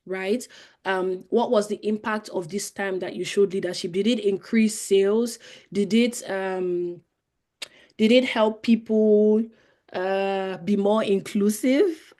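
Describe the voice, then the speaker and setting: A young woman with a Nigerian accent talks through interview tips in a live webinar. Her delivery is conversational and explanatory, often pausing on fillers as she gathers her thoughts. Her voice sits at an ordinary, mid pitch. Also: a little mellow. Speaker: webinar presenter.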